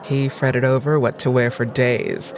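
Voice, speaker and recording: A woman playing a haughty, cynical noblewoman in an audio drama, with an arch, aristocratic and deliberate manner. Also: very deep voice, little pitch movement. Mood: wry, gossipy. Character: haughty, cynical, insistent noblewoman, lady of the house.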